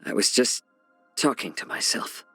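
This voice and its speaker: An actor voicing a genial, sly fox-man healer and chef in a video game, speaking softly and smoothly in a measured, gently teasing way. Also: no room sound, faint music behind. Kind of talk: scripted game character voice lines.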